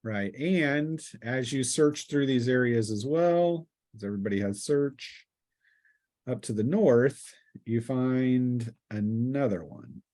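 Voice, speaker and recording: Male game master for a tabletop fantasy session; mid-range voice, improvised narration, thinks aloud with halting pauses. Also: medium pitch, animated, warm tone.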